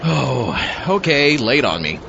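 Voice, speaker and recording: A man's voice: big pitch swings, a little slow, creaky. A man playing a wry, world-weary zombie astronaut with a dry, sarcastic manner in a comedic audio drama. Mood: suspicious, resigned. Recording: muffled lo-fi audio over rumbling background noise.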